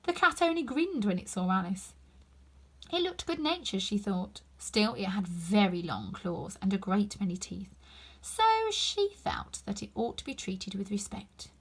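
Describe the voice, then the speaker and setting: A woman narrates a story for children. Her delivery is expressive and emphatic, with pitch that moves up and down a lot. The voice has a soft husky breathiness. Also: a little slow. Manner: animated, emphatic. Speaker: storybook reader.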